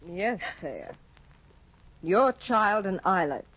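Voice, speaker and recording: A cold, controlling aristocratic woman in an old radio drama. She speaks in a cool, measured, quietly manipulative way. The sound is dry and dull, like a 1950 broadcast. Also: mid-range voice, big pitch swings, dry recording. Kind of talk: 1950s radio drama acting.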